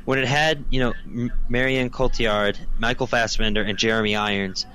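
A man's voice: mid-range voice, fairly even intonation, normal speed, pushed and bright, slight background noise, rough recording. A man chatting casually as a podcast co-host, informal and peppering his talk with "like". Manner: informal, hedging with frequent "like". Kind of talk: casual chat.

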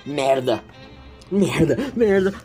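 A man's voice: fairly high pitch, animated, takes it slowly, darkish tone, soft background music. Man acting as Victor, an agitated, stammering young man. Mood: desperate. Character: Victor, anxious, hot-tempered young male school politician. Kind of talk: amateur audio drama acting.

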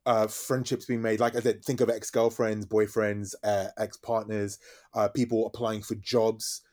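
A man, a DJ and radio host talking quickly and eagerly as a podcast guest. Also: lowish voice. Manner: fast-talking, animated storytelling. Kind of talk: casual interview chat.

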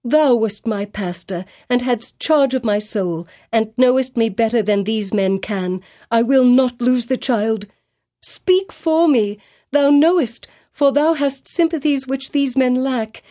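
A woman's voice: fairly high pitch, unhurried, few pauses, warm tone, pitched above the performer's own voice, natural voice. A woman voicing a desperate young mother who pleads for her child, her voice rising almost to a shriek. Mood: pleading.

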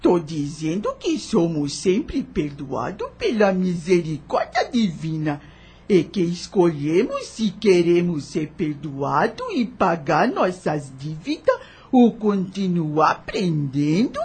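An actress playing a wise, devout old enslaved nanny in a radio drama, gentle and motherly, with a husky voice and rustic, unschooled speech. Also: fairly low pitch, heavily acted. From taken by force from her homeland. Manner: rustic uneducated grammar, patient moral teaching.

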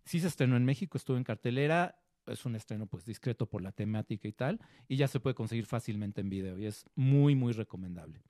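A man's voice: medium pitch, lively intonation, quick pace, few pauses, warm tone, dry recording. A man co-hosting a studio show, chatting about a film and acting out small quotes. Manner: conversational, acts out quotes. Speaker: co-host film critic. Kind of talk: casual film review chat.

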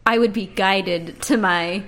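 A woman with a high-pitched voice co-hosting a true-crime podcast, playful and laughing often. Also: measured pace, over a noisy crowd. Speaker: podcast co-host. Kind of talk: casual banter about a true-crime case.

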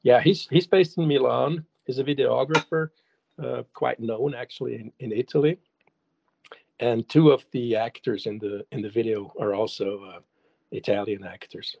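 A man's voice: medium pitch, fairly even intonation, normal speed, vocal fry, no echo, muffled recording. A man whose first language is German, a songwriter answering interview questions casually, hesitant with many restarts and fillers. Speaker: songwriter and guest.

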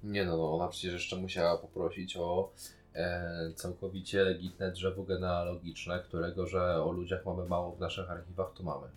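A man's voice: deep voice, little pitch movement, moderate pace. Man chatting over faint music. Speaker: podcast co-host reviewing a TV series. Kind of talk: casual discussion.

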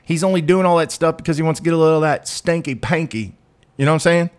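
A man's voice: fast talker, clean tone. Blunt, profane man ranting about dating. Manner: blunt, profane, swings between loud and low. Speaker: dating commentator. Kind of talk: ranting monologue.

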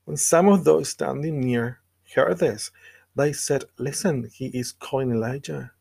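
A man delivering a scripted devotional sermon and scripture reading in an earnest, measured way with deliberate pauses. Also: mid-range voice, vocal fry, a little mellow, slightly rough. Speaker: preacher.

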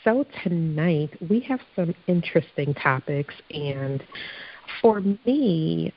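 Hoarse, low-voiced woman host. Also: lively intonation, lo-fi sound. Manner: chatty, upbeat, quick to laugh. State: hoarse from allergies. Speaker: podcast host. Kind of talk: casual chat.

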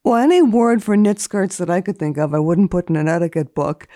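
Female guest columnist, low-pitched and mellow, deadpan and theatrical, close dry sound. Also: a little fast, dry recording. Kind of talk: dramatic reading of a book index and deadpan commentary.

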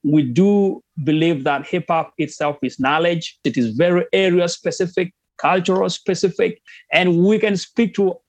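A man's voice: high voice, fairly even intonation, moderate pace, a little mellow, no echo. A man with a Ugandan accent, a guest answering interview questions in an earnest way with frequent fillers. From northern Uganda. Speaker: guest, hip hop artist and activist.

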